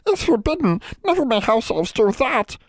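High, wailing little house elf. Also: male voice, sing-song, moderate pace, no echo, full character voice. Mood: horrified. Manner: wailing, pleading, speaks of himself in third person. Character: tiny wailing male house elf, servile, guilt-ridden. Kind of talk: audiobook character voice.

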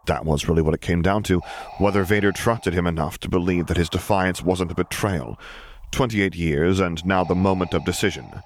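A man reading a story aloud as an expressive audiobook narrator. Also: low-pitched, moderate pace, slight background noise.

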